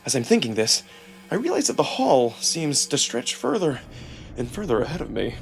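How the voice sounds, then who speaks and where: A man reading a visual novel in the first person as a theatrical, expressive narrator. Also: medium pitch, normal speed, creaky. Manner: theatrical, expressive, acts out emotion. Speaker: narrator and performer. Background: music.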